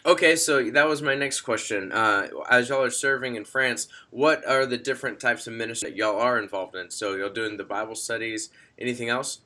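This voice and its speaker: Bright-voiced man asking questions. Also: mid-range voice, a little fast, few pauses. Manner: friendly, hesitant questions with many fillers. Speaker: church interviewer. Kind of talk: casual interview.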